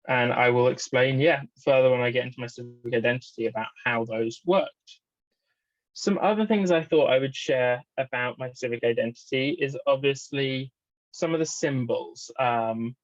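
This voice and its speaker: A man with an English accent talks through a personal presentation in an explanatory, reflective manner. His voice sits at an average male pitch. The recording is dry, with a dull, lo-fi sound. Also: no echo. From Southwest London, England.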